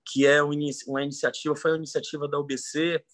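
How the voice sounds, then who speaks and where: A man speaks on a video call from home, thoughtful and earnest, often restarting his phrases. His voice is slightly high and very bright. The sound is dry and close. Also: little pitch movement, rapid-fire, no echo. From Salvador, Bahia, Brazil. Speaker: panel guest, composer. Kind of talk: online panel discussion. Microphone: computer mic on a video call.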